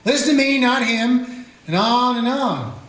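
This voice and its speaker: A man's voice: extremely high pitch, animated, unhurried, a bit bright, heavily acted. A man voicing a weary small-town sheriff who narrates and questions a prisoner with folksy, dry humor. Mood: musing. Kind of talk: radio play narration and acting. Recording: echoey room with faint music.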